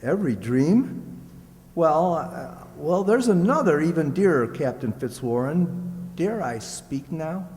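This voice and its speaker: A man playing a humble, earnest young lad with a halting manner in a radio folktale. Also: a little high, expressive, a little mellow, slight background noise. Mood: earnest, hopeful. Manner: halting, stammering. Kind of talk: stage-like radio play acting. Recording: echoey hall.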